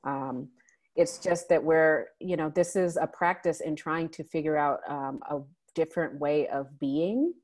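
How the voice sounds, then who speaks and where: A woman with a notably low voice co-hosts a relaxed online discussion over a video call. She thinks aloud with frequent "um"s and restarts. Her tone is reflective and unhurried. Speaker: co-host of a discussion salon. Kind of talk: casual group discussion.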